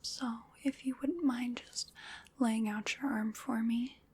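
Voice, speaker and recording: A woman performs a female vampire in an ASMR roleplay, speaking in a very breathy whisper at an unhurried pace. She is chatty and wryly polite, with a playful menace. Her soothing delivery dotes on her prey. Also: a little high, steady tone, a little mellow, heavily acted. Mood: softly businesslike.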